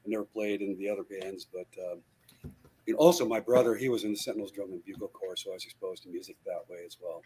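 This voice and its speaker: A man's voice: sits low, steady tone, fast talker, mellow, slight background noise, low-quality recording. A man in his sixties, a guitarist guest in an interview, telling his story in a rambling way with many fillers and restarts over a video call. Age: 60s.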